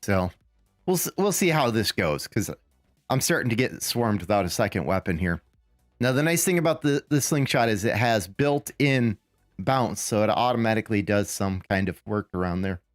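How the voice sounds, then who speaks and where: A man with a raspy voice, still getting over a lost voice, giving casual live commentary on a game he is playing and thinking aloud as he goes. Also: fairly low pitch, animated, normal speed, no echo, studio quality, soft background music. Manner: rambling, thinking aloud with fillers and restarts.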